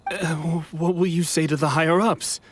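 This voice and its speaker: An actor playing a world-weary former dreamer in a video game, earnest and wistful. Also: male voice, a little high, fairly even intonation, moderate pace, a little hiss or hum, close to an everyday voice. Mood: surprised, earnest. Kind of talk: dramatic game dialogue acting. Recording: prominent music behind the voice.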